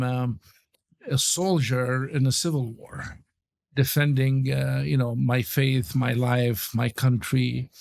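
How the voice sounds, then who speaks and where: Lebanese guy in his 60s, gravelly, lots of uhs and pauses, telling his story. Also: mid-range voice, no echo. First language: Arabic. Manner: halting, many pauses and fillers. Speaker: podcast guest. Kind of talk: interview storytelling.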